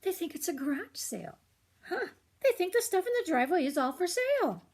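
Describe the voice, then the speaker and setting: A woman's voice: very high-pitched, sing-song, brisk, pitched above the performer's own voice, heavily acted. An older woman voicing a clever, matter-of-fact young girl in a children's storybook, explaining things precisely. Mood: confident.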